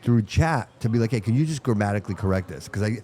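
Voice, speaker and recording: A mellow, warm-voiced man hosts a casual live podcast. His first language is Portuguese, and he speaks English with it underneath. He stresses his points hard, circles back and repeats phrases, and keeps saying dude and bro. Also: on the low side, lively intonation, fast. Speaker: podcast host. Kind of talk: casual chat. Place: live show at a brewery venue.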